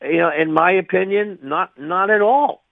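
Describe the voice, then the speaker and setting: An older man giving his view as an interview guest over the phone, speaking in a halting, reflective way and repeating phrases for emphasis. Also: high voice, creaky, no room sound. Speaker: retired air traffic controller, interview guest. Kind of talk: spontaneous radio interview commentary. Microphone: phone call to a radio show. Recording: tinny, band-limited phone line.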